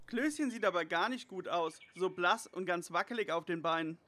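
Bright, cheeky brainy boy detective played by an actor. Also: medium pitch, normal speed, natural voice. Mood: teasing. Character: brainy teasing teenage boy detective Karl. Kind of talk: parody detective audio drama.

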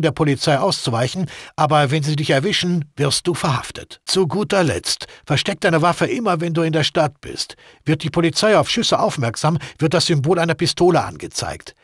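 A man's voice: fairly high pitch, husky, no room sound, clean studio sound. A man voicing a matter-of-fact, middle-aged mafia weapons man in a video game, with an instructive, streetwise manner.

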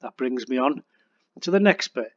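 Male instructor for an aviation tutorial, mid-pitched, casual and plain in explanation. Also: expressive, normal speed, a bit bright, no room sound, lo-fi sound. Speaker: flight radio instructor. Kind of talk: casual instructional narration over flight simulator.

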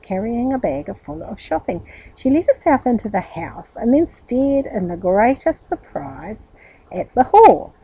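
A middle-aged woman with a husky voice reads a children's story aloud in expressive narration. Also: medium pitch, poor audio. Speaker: storybook reader.

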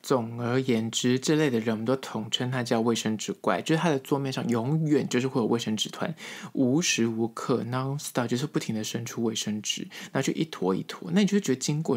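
Male podcast host, Taiwanese Mandarin accent, mid-pitched voice, chatty comic storytelling with stretched-out emphasis. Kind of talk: casual humorous solo podcast monologue.

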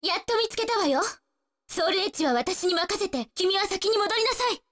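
A woman's voice: extremely high pitch, lively intonation, a little fast, a bit bright, long reverb tail. A woman voicing a spirited, cocky young female martial-arts fighter in a fighting game, brash, taunting and determined. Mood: firm, bossy. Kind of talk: Japanese fighting-game voice acting, short battle lines.

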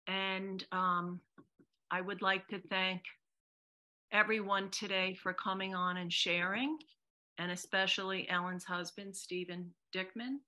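A middle-aged woman hosting a memorial event over a video call from a small room, thanking speakers with loose pauses. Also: mid-range voice, flat delivery, pushed and bright. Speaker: event host.

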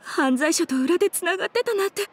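A woman's voice: very high-pitched, lively intonation, fast talker. A woman playing an easily unsettled, gossipy townswoman in a Japanese game, with a dramatic manner. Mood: uneasy, gossiping. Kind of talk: Japanese anime-style game acting.

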